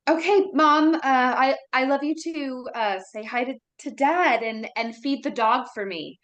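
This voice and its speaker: A woman plays a brash young woman with a high voice. She is foul-mouthed, sarcastic and exaggerated, in a horror film script read aloud. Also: slow pace. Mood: nervous, scared.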